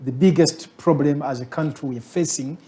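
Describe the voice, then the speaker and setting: A man with a Ugandan accent hosts a talk show. His voice is mellow and warm, and his questions are long and winding. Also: fairly high pitch, a little fast.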